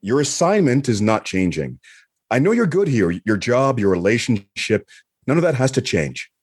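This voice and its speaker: A man acting a calm, benevolent space admiral with a husky voice and a reassuring manner in an audio drama. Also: mid-range voice. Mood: reassuring, congratulatory. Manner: measured, reassuring.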